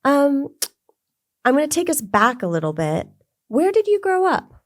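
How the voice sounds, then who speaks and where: A woman's voice: high voice, very animated, no echo, studio quality. A woman hosting an interview, affirming and encouraging her guest as she asks questions. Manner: affirming, quick to agree and encourage. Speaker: podcast host. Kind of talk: warm interview conversation.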